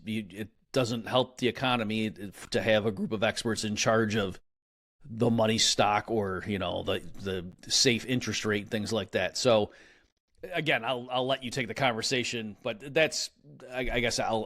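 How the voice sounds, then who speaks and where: A man's voice: on the low side, a bit bright. A man being interviewed as an economist, explaining ideas in a casual, conversational way with frequent fillers and restarts. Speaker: economist guest.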